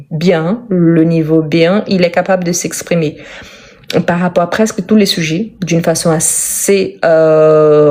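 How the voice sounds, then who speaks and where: A Brazilian-born woman whose first language is Portuguese, teaching French in a live online lesson, speaking slowly and simply and often repeating words for emphasis. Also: fairly low pitch, warm tone. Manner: slow, careful, simple speech for learners.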